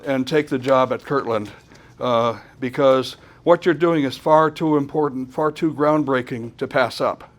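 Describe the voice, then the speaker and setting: A man presenting an informal technical talk at a conference, speaking haltingly and often repeating words as he goes. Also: medium pitch.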